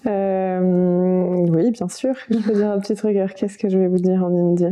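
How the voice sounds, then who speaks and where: A woman's voice: on the low side, steady tone, normal speed, dark tone. A woman in her thirties, a yoga teacher, talking casually as a podcast guest, hesitant with many fillers and frequent laughs.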